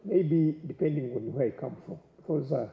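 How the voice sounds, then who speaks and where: A man's voice: a little high, lively intonation, moderate pace. A man from Tanzania speaking as a conference panelist, hesitant and searching for words. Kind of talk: panel discussion talk. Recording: echoey hall, dull sound.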